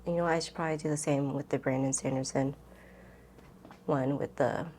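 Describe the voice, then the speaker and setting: A woman's voice: very deep voice, steady tone, moderate pace, warm tone, slight background noise. A woman chatting casually as a book vlogger, rambling and interrupting herself in a giggly, informal way. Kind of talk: casual chat to camera, book tag.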